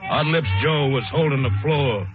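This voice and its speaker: An actor narrating as a hard-boiled, romantic jazz-age balladeer, reciting rhyming slang verse rhythmically in an old radio drama. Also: male voice, fairly high pitch, moderate pace, natural voice. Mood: dramatic, wistful. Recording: muffled vintage radio, loud music behind.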